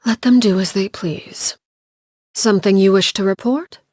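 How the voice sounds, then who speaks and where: A woman playing a cold, composed female game character, speaking softly and formally in measured phrases with quiet menace. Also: medium pitch, dry recording, clean studio sound, lightly acted. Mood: cool, courteous. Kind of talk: scripted video game character lines.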